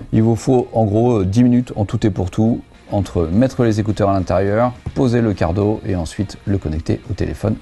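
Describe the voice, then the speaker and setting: A man talks through a product in a mellow, relaxed voice. He is chatty and explanatory, using everyday wording, with light music underneath. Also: lowish voice, gravelly. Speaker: motorcycle gear reviewer, host. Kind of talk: casual product review to camera.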